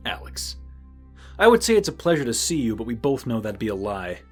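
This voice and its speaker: A man's voice: medium pitch, very animated, brisk, breathy edge, soft background music. A man acting in an audio drama as a dour, menacing bounty hunter, cold, scheming and sarcastic. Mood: bitter, menacing.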